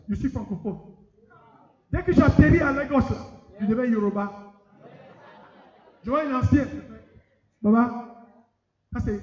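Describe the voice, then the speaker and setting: A middle-aged man preaching a lively sermon, talking straight to the congregation in a conference hall. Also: very high voice. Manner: lively, addresses the congregation directly. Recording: echoey hall, dull sound.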